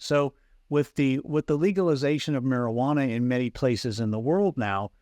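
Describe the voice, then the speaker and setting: A man's voice: mid-range voice, darkish tone, no echo, studio quality. A man guest explaining fragrance hypnosis in an earnest, teacherly way, with frequent restarts, over a video call. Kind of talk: explanatory interview talk.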